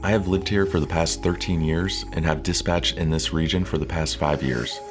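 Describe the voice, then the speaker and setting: A man presenting scripted instructional narration in a measured, formal, clearly structured way. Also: low-pitched, steady tone, over music. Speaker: presenter of interview coaching videos.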